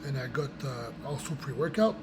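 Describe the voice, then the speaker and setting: A young man with a voice gone raspy from a cold, chatting casually to his viewers in a rambling way with many restarts and fillers. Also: medium pitch, lively intonation, moderate pace, noisy background. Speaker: vlogger. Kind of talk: casual chat to camera.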